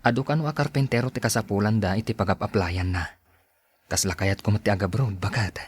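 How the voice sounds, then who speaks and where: A man acts out a dutiful working husband and father in a podcast drama. His voice is fairly low and rough-edged, recorded dry. He speaks earnestly, like someone carrying the family's burdens. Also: fairly even intonation, fast, dry recording. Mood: earnest, resolved.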